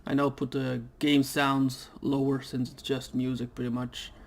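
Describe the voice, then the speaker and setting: A man's voice: mid-range voice, level tone, a little slow, vocal fry. Rambling man with a scratchy throat. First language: Dutch. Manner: rambling and hesitant, many fillers. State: throat irritated, clearing it. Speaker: gaming YouTuber. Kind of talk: casual let's play commentary. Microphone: desk microphone.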